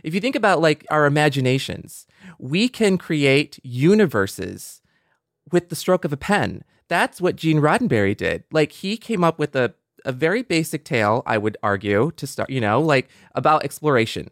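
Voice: medium pitch, expressive, normal speed, no room sound, clean studio sound. A panelist talking casually and earnestly in a discussion, often repeating points for emphasis. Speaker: podcast panelist. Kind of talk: casual panel discussion about science fiction.

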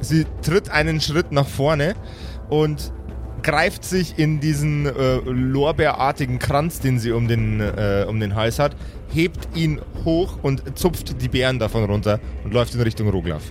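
A man's voice: medium pitch, measured pace, faint music behind. A man improvising narration as the game master of a tabletop game. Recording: clear background noise.

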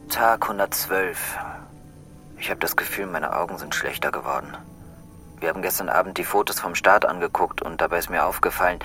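A man's voice: low voice, sharp tone. An actor playing a weary male astronaut crew member in an audio drama, talking in a tired, subdued way. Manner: tired, subdued logbook musing.

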